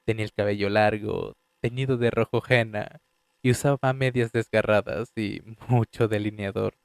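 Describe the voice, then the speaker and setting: Guy voicing a young designer telling what happened, kinda defensive, lowish voice, dry close sound. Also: fairly even intonation, normal speed, gravelly, smooth, dry recording. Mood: uneasy. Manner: confessional, slightly defensive storytelling. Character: young male designer and clubgoer giving a statement. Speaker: voice actor reading a statement. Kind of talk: fan dub audio drama, first-person statement.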